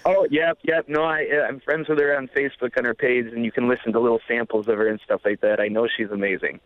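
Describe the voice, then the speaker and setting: A man's voice: mid-range voice, fairly even intonation, quick pace, dry recording. A man from Illinois calling into a radio show by telephone, speaking in a casual, hesitant way with many uhs. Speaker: caller. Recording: tinny phone line.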